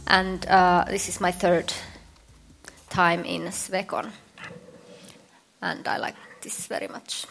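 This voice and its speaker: A woman from Finland, moderating a convention panel and pausing often to search for words. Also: on the low side, flat delivery, creaky, a bit bright. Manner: hesitant, many fillers and restarts.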